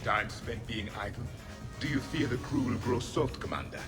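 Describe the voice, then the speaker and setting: An actor playing a proud, disdainful ancient alien warrior who speaks in a formal, haughty manner. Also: male voice, mid-range voice, very animated, normal speed. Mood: scornful. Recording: music playing behind the voice.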